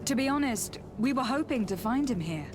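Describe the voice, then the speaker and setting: A woman's voice: fairly high pitch, expressive, brisk, noisy background, natural voice. An actress playing a polite, composed young noblewoman in a fantasy game, courteous and formal as she speaks. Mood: courteous, curious. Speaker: voice actor in a video game. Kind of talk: scripted fantasy game dialogue. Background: music.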